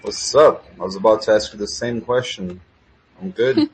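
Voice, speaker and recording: A man's voice: fairly low pitch, wildly swooping pitch, moderate pace, gravelly, breathy edge, low-quality recording. A man hosting a casual live audio chat, laid-back and joking with slang. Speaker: host.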